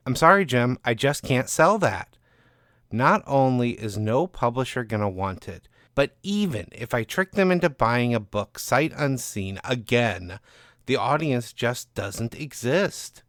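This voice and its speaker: A man voicing a frustrated literary agent in an audio story, measured and persuasive, with firm, worn patience. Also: mid-range voice, expressive, a bit bright, dry recording, clean studio sound. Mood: restrained, firm.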